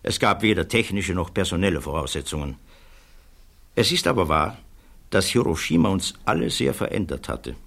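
An actor playing a thoughtful physicist giving measured, earnest testimony in a German radio play. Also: male voice, deep voice, very animated, vocal fry, a bit bright, exaggerated voice. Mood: grave, troubled. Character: thoughtful male physicist witness, earnest, troubled by conscience.

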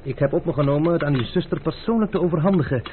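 A man playing a stubborn, teasing gentleman adventurer in a radio play, speaking with mock courtesy and unhurried insolence. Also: on the high side, close to an everyday voice. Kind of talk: old radio drama acting. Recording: tinny, band-limited old radio recording with hiss.

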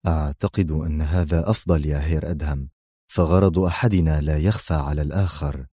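An arrogant villain spy, acted by a male narrator in an audio adventure. He sounds haughty, stern and menacing, pitched down low with a quieter delivery. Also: fairly even intonation, a little fast, husky, no echo, studio quality. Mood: controlled.

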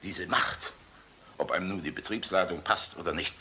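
A middle-aged actor playing a hard-drinking, cynical, charming air force general in a German radio play, gravelly and swaggering. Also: male voice, low voice, moderate pace, sharp tone, a little hiss or hum. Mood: sardonic, musing. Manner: sardonic, flirtatious, swaggering. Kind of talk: German radio drama acting.

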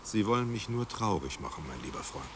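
An actor playing a noble, weary exiled writer in a radio drama, melancholy and devoted, speaking gravely and with measured resignation. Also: male voice, fairly low pitch, big pitch swings. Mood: devoted, pleading. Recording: clear background ambience noise.

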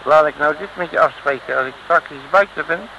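An actor playing a cocky, wisecracking streetwise detective, in an old radio serial style. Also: male voice, fairly high pitch, a little fast, gravelly, bright, forceful tone, dull audio. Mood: cocky, defiant. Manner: breezy, slangy, wisecracking bravado. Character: cocky, streetwise male detective from Rotterdam, joking braggart. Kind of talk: 1950s-60s Dutch radio serial acting. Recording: noisy old radio recording.

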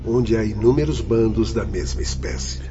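An actor playing an authoritative spirit doctor in a Brazilian radio drama, formal and measured as he instructs. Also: lowish voice, noisy background, close to an everyday voice. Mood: composed, instructive. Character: composed, authoritative male spirit doctor guiding apprentices. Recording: dull lo-fi radio drama, loud music behind.

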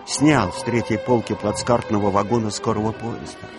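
A man reading scripted literary narration for a radio drama, measured and colloquial in his storytelling. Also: lowish voice, steady tone. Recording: music bed behind the voice.

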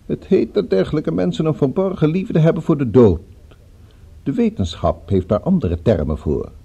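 Courteous menacing villain, very mellow dark tone, swooping intonation, formal phrasing, radio drama. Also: male voice, a little high, very animated, moderate pace, vocal fry, husky, full character voice. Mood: polite, musing threat. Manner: formal, urbane, musing, politely threatening. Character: courteous, menacing male villain with a revolver, cultured.

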